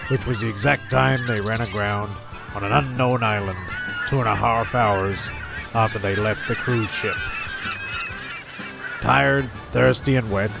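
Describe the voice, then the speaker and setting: Male narrator, gravelly, mid-pitched, for a scripted comedy tale over music. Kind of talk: scripted comic storytelling narration.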